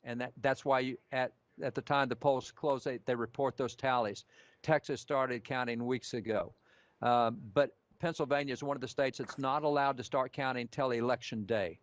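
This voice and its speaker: A man working as an election analyst, giving live commentary on incoming figures in an analytical, carefully qualified way. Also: mid-range voice. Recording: dry, muffled lo-fi feed.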